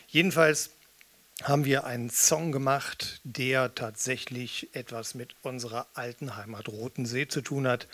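A man's voice: mid-range voice, a bit bright, husky. A man in his fifties from eastern Germany, talking casually to introduce a song, close on a stand microphone. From Magdeburg, eastern Germany. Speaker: musician and spoken-word performer. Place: small cinema or theatre hall, indoors. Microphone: stand microphone with pop filter, close.

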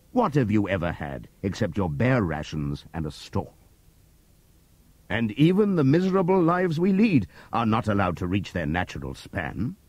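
Old boar rebel leader, read by a male narrator: husky, low, wildly swinging pitch, close and dry. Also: no room sound, exaggerated voice. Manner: impassioned oratory, sweeping from loud appeals to hushed asides. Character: old prize boar, wise, rousing orator. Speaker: audiobook reader. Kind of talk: dramatic audiobook reading.

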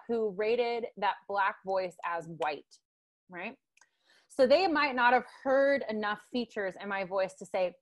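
A young woman from Tennessee delivers a lecture over a video call, speaking through earbuds in a room at home. Her voice sits at a middling pitch for a woman. She peppers her points with ums and checks in with "right?". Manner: frequent ums and tag questions like "right?". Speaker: guest lecturer. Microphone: earbud headset on a video call.

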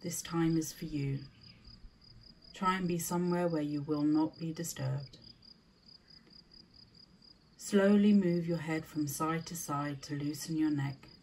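Woman, guided meditation, mellow soft-edged tone, very unhurried, soothing, short phrases, faint background ambience. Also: deep voice, a little hiss or hum.

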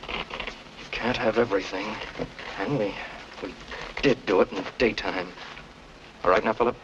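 A man's voice: lowish voice, steady tone, moderate pace, lightly acted. An actor playing a smug, controlling young man in a 1940s film. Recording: dull old film soundtrack, faint hiss.

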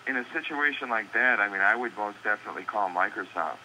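A man's voice: fairly low pitch, lively intonation, quick pace, sharp tone. A man working as an electronics store employee, answering a customer over an internet phone call. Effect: phone call over computer speaker. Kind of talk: customer service phone talk. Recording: harsh, slightly noisy internet phone line.

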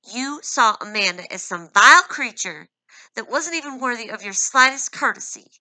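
Storybook: a wounded young wife standing her ground, read by a woman; strained, high, very bright and dry. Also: animated, unhurried, no room sound, full character voice, rough recording. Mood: hurt, defiant. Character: hurt, defiant young wife, fighting back tears.

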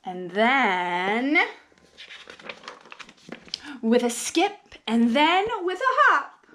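A young woman reading a children's picture book aloud in an expressive, sing-song, drawn-out preschool teacher's manner, recorded at home on a camera mic. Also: on the high side, slight background noise. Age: young adult.

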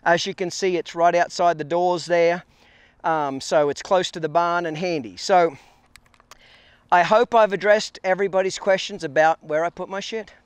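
A man's voice: high-pitched, steady tone, moderate pace, clear, resonant tone, dry recording. A man in his forties talking casually and crudely as he hosts a walk-and-talk vlog outdoors. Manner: crude, joking, swearing freely. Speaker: vlog host, horse trainer. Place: outdoors at a barn yard. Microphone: handheld camera at arm's length.

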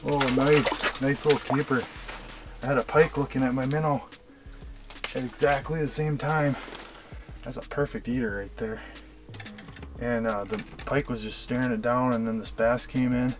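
A man vlogging his ice fishing trip in a shack on a frozen lake, excited and chatty, talking to the fish he sees on camera. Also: mid-range voice, normal speed, long pauses, over music. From Wisconsin. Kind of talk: casual outdoor fishing commentary.

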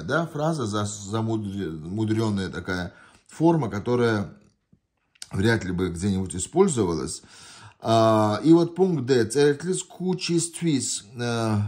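A man's voice: mid-range voice, a little mellow. A man tutoring from a printed test sheet, thinking aloud with hesitations and self-corrections. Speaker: language tutor. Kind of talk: explaining test answers while reading a printed sheet. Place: indoors at a desk. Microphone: phone camera pointed down at a sheet held in hand.

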